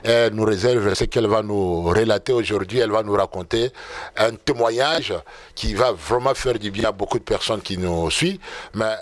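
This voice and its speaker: Male host for a testimony interview show, fairly low voice. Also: normal speed, no echo. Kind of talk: presenting an interview programme.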